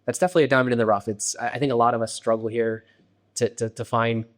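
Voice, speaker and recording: A man hosting an interview podcast, chatty and conversational, often checking in with a quick "right?". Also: medium pitch, fairly even intonation. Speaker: podcast host.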